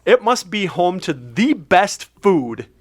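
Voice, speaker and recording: A man hosting a comedy podcast, telling stories in a rambling, animated way. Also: high-pitched. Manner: rambling, quick, animated storytelling. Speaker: podcast host. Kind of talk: casual comedic banter. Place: basement studio.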